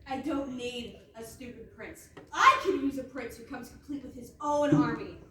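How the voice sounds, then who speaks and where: A woman playing a power-hungry, petty young ice princess in comic readers theatre, swinging between grandiose threat and sheepish deadpan. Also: high-pitched, normal speed. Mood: scheming, petty. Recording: roomy, distant stage recording, faint noise.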